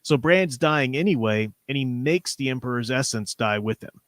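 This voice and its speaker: A man with a mid-pitched voice hosts a casual discussion stream. He speaks with enthusiasm in a conversational style. The recording is close and dry, with very little room sound. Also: lively intonation, moderate pace, no room sound. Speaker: show host reading chat messages. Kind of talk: casual book discussion on a livestream.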